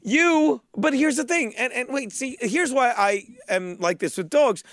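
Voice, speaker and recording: Teasing high-voiced man hosting. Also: expressive, a little slow, fairly bright tone, no room sound, studio quality. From South Jersey. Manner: teasing, joking. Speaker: podcast host. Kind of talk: casual crude banter.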